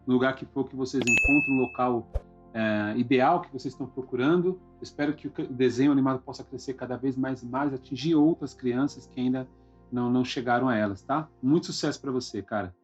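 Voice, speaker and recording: Brazilian male host, husky, casual and encouraging, lo-fi sound with faint music. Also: medium pitch, brisk. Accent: Brazilian Portuguese. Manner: encouraging, informal. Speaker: podcast host. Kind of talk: casual conversational interview.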